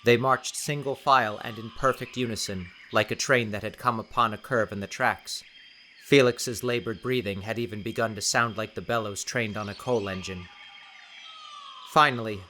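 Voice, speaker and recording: A man reading dramatic scripted narration for an audiobook in a deliberate, theatrical way. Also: mid-range voice, sharp tone, slight background noise.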